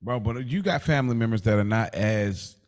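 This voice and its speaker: An American man co-hosting a podcast, debating casually and probing with questions, often rephrasing in a halting way. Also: lowish voice. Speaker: podcast co-host.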